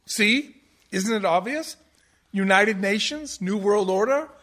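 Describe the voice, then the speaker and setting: An actor playing a pompous, condescending theatre professor who lectures pedantically in a comic radio play. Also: male voice, high voice, slow, fairly bright tone, faint music behind. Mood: smug, explaining. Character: pompous, condescending male musical-theatre professor, absurdly over-analytical. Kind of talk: comic radio play acting as interview guest.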